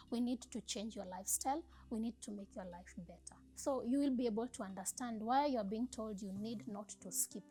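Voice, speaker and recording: Calm woman explaining medical care. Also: a little high. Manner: calm, steady, repeats points for emphasis. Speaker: health professional.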